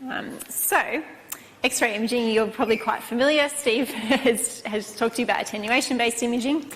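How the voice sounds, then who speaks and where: A soft-spoken woman, a guest lecturer opening a university talk in a casual way. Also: fairly high pitch, normal speed. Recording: echoey lecture hall with loud noise.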